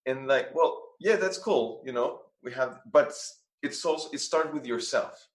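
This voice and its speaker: A man's voice: medium pitch, expressive, unhurried. Guest, man, earnest and searching for words, echoey room. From France. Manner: earnest, restarts phrases often. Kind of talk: conversational interview.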